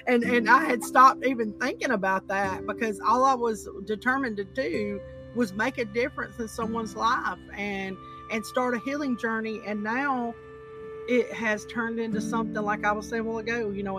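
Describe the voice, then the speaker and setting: Raspy deep-voiced woman podcast host. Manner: rambling, repeats words, many "you know"s. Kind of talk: casual interview chat.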